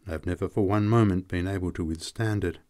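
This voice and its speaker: A man's voice: medium pitch, lively intonation, moderate pace, a little mellow, voice pushed up, lightly acted. Lovesick, bitter man, raised and heated, read by a male narrator. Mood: accusing. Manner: pleading and sneering by turns, emotional. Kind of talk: audiobook character reading.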